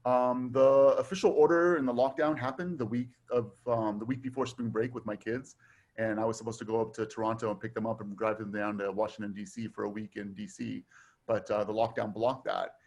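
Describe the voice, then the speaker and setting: A middle-aged man from Taiwan, raised in Southern California, chatting as a guest in a relaxed way with frequent fillers. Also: lowish voice, brisk. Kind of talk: casual conversation.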